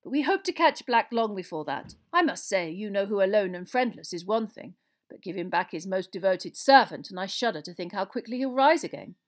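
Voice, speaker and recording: A woman voicing a pompous middle-aged male government minister in a storybook, officious, measured and grave. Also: medium pitch, expressive, lightly acted. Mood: guarded.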